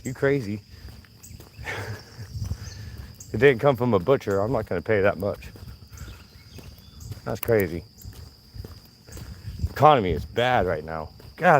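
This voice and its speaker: A man's voice: lowish voice, lively intonation, normal speed, long pauses, slight background noise. A man, chatting and grumbling to himself while hunting outdoors for his video. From South Carolina. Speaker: vlogger. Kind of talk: casual rambling commentary. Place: outdoors in nature. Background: insects and crickets.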